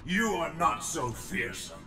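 A man's voice: on the high side, big pitch swings, unhurried, recorded from a distance, over music. A man playing a solemn, resolute cyborg ninja in a video game, speaking formally and tersely. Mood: defiant. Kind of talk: video game cutscene acting.

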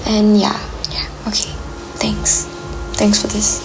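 An eighteen-year-old woman who grew up in Malaysia, talking softly and hesitantly in a personal voice memo, sometimes dropping to a whisper. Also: medium pitch, monotone, slow pace, music in the background, poor audio. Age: teens. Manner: soft, hesitant, lots of ums, drops to a whisper.

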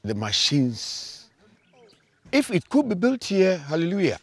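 An older man, explaining things outdoors at a building site, slow and deliberate with pauses between phrases. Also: high-pitched, wildly swooping pitch, no echo.

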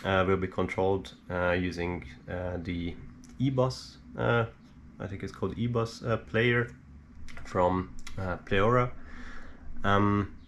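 A man giving a casual, unscripted hands-on explainer as a hobbyist, halting and hesitant as he thinks aloud. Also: low voice. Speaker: DIY electronics hobbyist presenter. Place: small indoor home workshop. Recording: steady background noise.

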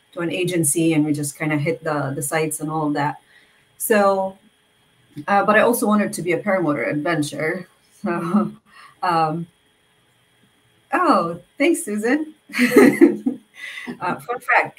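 A woman in her thirties from Egypt, telling her story on a video call, halting with many fillers and restarts. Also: low-pitched, rough recording. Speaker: guest speaker.

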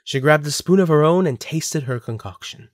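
A man's voice: on the high side, moderate pace, no room sound, studio quality. A man narrating a scripted story for an audio drama.

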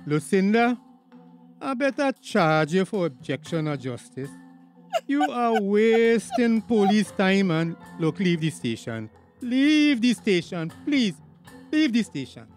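An actor playing a stern, easily exasperated village police officer in a radio drama, formal and officious, with a Tobagonian accent. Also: male voice, high-pitched, sing-song, slow pace, soft background music, exaggerated voice.

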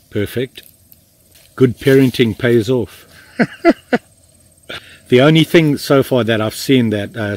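Husky-voiced older man reviewing a knife outdoors. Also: on the low side. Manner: relaxed, chatty, with frequent fillers and restarts. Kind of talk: casual hands-on review. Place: outdoors in woods, kneeling in the undergrowth.

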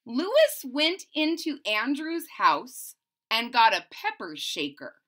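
Children's story reader: woman, middle-aged, bright high voice, unhurried, theatrical emphasis. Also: huge pitch swings. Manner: theatrical, emphatic, drawn-out words. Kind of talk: expressive read-aloud storytelling for children. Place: small room at home.

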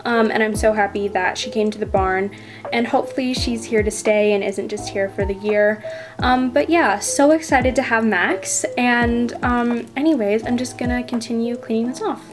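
A chatty teenage girl narrates her day at the barn in a casual vlog voice, with plenty of "um"s and "you know"s. Her voice sits a little high, and clear music plays underneath the voice-over. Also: normal speed. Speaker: toy horse vlog creator voicing a rider.

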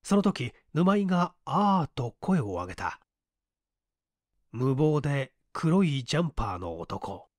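A man reading a literary story as dramatic narration in a measured, deliberate way. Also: medium pitch, sing-song, no room sound, clean studio sound. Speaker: story reader.

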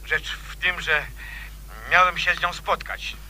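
Sharp-voiced brusque phone caller. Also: male voice, on the high side, little pitch movement, unhurried, a little hiss or hum. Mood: evasive. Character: brusque male caller asking after a woman. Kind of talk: radio play acting.

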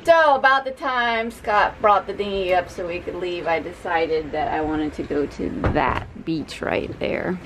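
A woman's voice: fairly low pitch, measured pace, hardly any pauses, background noise. A woman in her forties hosting a sailing vlog on a catamaran, teasing and upbeat, talking into a handheld camera. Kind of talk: casual vlog narration. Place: on a catamaran at sea.

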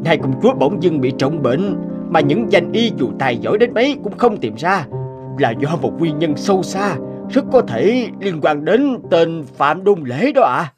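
An actor playing a scheming, obsequious cartoon court official with an insinuating, formal manner. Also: male voice, fairly high pitch, very animated, unhurried, music in the background.

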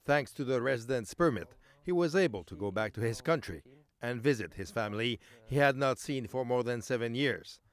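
A male reporter narrating a news feature in a measured, scripted voice-over. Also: mid-range voice. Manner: measured, even storytelling delivery. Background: music bed.

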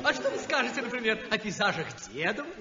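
Squeaky fussy art-show gent, old radio play, hall echo. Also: male voice, big pitch swings, quick pace, highs cut off, over a noisy crowd. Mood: gushing. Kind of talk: 1960s radio drama acting.

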